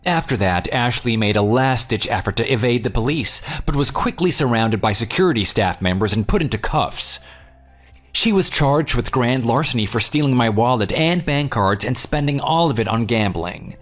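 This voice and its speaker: A man's voice: mid-range voice, lively intonation, moderate pace, lightly acted. A man playing a self-assured, unyielding jilted groom who leads and narrates an audio drama in a dry, sarcastic manner. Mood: matter-of-fact. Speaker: narrator and lead character. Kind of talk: audio drama dialogue and first-person narration. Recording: music bed under the voice. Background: background music.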